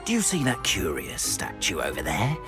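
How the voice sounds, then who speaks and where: A man's voice: fairly low pitch, wildly swooping pitch, moderate pace, music in the background. An actor playing a flustered, fearful older British school caretaker in a video game, hesitant and florid in his phrasing. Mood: apologetic, flustered. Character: jittery, eccentric older male school caretaker, theatrical and fearful. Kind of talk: video game character acting.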